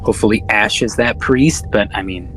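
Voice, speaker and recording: A man's voice: on the low side, normal speed, a bit bright, slight background noise, rough recording. A man co-hosting a podcast in a casual, laid-back film chat, slangy and prone to swearing. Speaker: podcast co-host.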